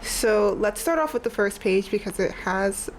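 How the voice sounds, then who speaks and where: A woman's voice: medium pitch, lively intonation, moderate pace, poor audio. Young woman kinda stuffed up, rambly casual vlog talk, says like a lot, faint noise in back. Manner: chatty, rambling, says "like" often. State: congested, sniffly. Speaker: art vlogger. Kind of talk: casual sketchbook tour chat. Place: on her bed at home.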